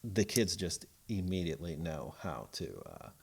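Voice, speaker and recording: A man's voice: low-pitched, sing-song, normal speed, gravelly, slightly rough. A man hosting a casual podcast interview, rambling through questions with many fillers and poking fun at himself. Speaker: podcast host.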